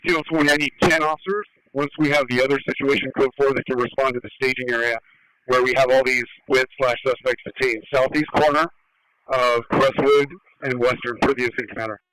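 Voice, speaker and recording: A man's voice: medium pitch, steady tone, fairly quick, a bit bright, clear, resonant tone, no room sound, low-quality recording. A male police officer relaying orders over the radio, picked up by a scanner.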